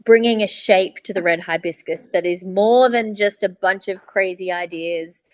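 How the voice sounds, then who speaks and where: A woman chats as a podcast co-host in a medium-pitched voice. She lays things out at length and with enthusiasm. The sound is dry, with little room around her. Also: normal speed, no echo. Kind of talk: casual podcast chat.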